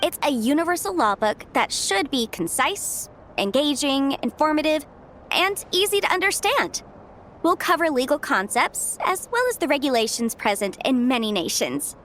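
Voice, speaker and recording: A woman voicing a cheerful, easily flustered young horned scholar in a video game, chatty and expressive. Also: sits high, moderate pace, slight background noise. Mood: upbeat, chatty. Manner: chatty, expressive, hesitant when put on the spot. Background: background music.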